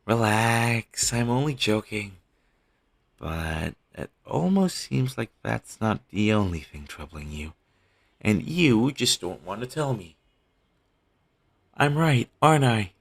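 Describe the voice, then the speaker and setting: A caring talent manager played by a man in an intimate audio roleplay, with a gravelly, husky voice. He coaxes and gently teases, his intonation rising and falling freely. The amateur recording is low in quality. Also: sing-song, slow pace, heavily acted. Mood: teasing, probing. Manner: coaxing, gently teasing. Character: caring, teasing male talent manager soothing a troubled actor. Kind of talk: scripted audio roleplay, one-sided intimate conversation.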